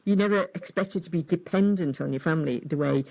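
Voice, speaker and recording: A woman's voice: deep voice, fairly quick, vocal fry, darkish tone. A woman hosting a radio interview, conversational and chiming in with agreeing remarks.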